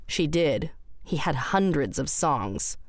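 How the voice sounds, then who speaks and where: A woman's voice: sits low, very animated, slow pace, no echo. A woman reading scripted story narration for audio fiction. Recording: roomless dry studio, faint music underneath. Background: faint music.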